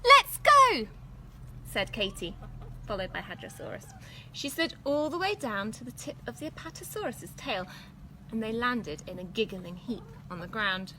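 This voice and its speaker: Animated woman reading a children's story. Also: mid-range voice, a little hiss or hum, low-quality recording. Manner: animated, deliberate, pausing to ask questions. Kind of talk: expressive storybook read-aloud for young children.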